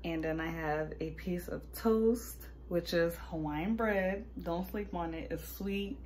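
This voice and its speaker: A woman's voice: on the low side, slow, darkish tone, a little hiss or hum. A young adult woman with an American accent, chatting casually to camera in an informal, slangy way as a vlogger.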